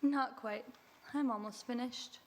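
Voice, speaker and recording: An actress playing a loyal young secretary who talks in a concerned, eager way. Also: female voice, on the high side, steady tone, a little slow, darkish tone, a little hiss or hum, close to an everyday voice. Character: loyal young female secretary, earnest and attentive.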